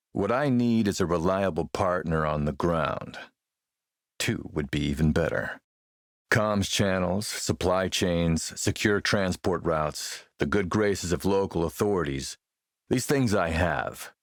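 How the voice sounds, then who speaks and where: A menacing military warlord from an action game, a man who speaks slowly and with calculated polish. His commanding voice sits on the low side and is recorded close and dry. He is suave and threatening. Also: no echo. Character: smooth, menacing military warlord and arms dealer. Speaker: villain character in an action role-playing game. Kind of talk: acted video game dialogue.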